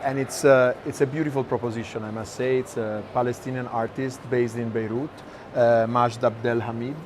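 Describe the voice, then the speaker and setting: Male curator-host, mid pitch, chatting casually on location with clearly audible ambient noise. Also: little pitch movement, moderate pace. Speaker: curator hosting walking conversations. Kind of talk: casual on-site interview about art. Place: indoor art fair booths. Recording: noisy fair hall, ambient background sound.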